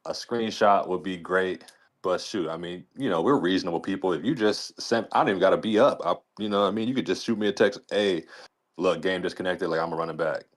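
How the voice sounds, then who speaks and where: A man's voice: deep voice, a little fast. A man talking casually as a league commissioner on a podcast, in a colloquial, rambling way peppered with "you know".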